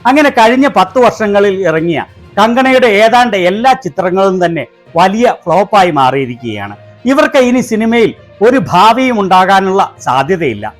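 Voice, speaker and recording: Casting: male Malayali commentary host, high voice with animated intonation, scripted critical delivery over faint music.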